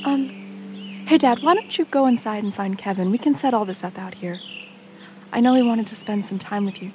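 A woman's voice: medium pitch, a little fast, dark tone, a little hiss or hum, lightly acted. A woman playing a caring, eager-to-please grown daughter and mother in an audio drama, politely coaxing.

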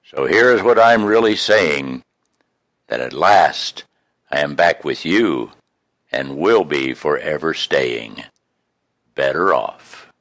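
A man reading his own poems in an expressive, folksy, homespun way, with casual introductions between them. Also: very deep voice, slow pace, pauses often, dry recording, clean studio sound. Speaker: poet reading own work.

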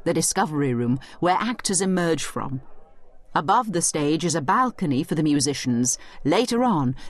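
A woman giving scripted educational narration as a study guide, instructive and expressive, speaking directly to the listener. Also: on the low side.

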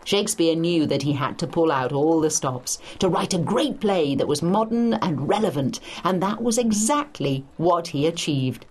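A woman narrates an educational audio guide as if telling a story. Her voice sits a little low and has a husky edge. She moves expressively up and down in pitch, stressing key points as she explains. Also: lively intonation, normal speed, hardly any pauses. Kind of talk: scripted educational narration in dialogue.

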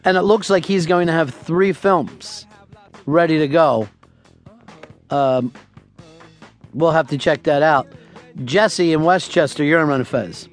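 A man hosting a radio talk show, taking callers and telling absurd tall tales with a deadpan, profane delivery. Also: fairly high pitch, measured pace, long pauses, faint music behind.

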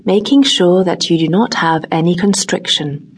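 Woman doing a guided body relaxation narration, kinda low and really breathy husky, gentle, lots of long pauses. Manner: gentle, unhurried, long pauses between short phrases. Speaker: audiobook narrator, meditation guide.

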